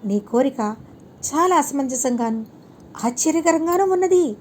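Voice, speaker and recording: Storybook sage, played by a woman: serene holy teacher, gently admonishing, deliberate, higher-pitched soft tone, faint noise. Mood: calm, questioning. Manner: calm, deliberate, preaching with rhetorical questions.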